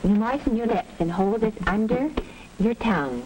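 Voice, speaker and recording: An actress playing a brisk, caring school nurse in a 1940s educational film, gently directive and polite, on an old soundtrack. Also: female voice, medium pitch, lively intonation, lightly acted. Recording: crackly vintage film soundtrack.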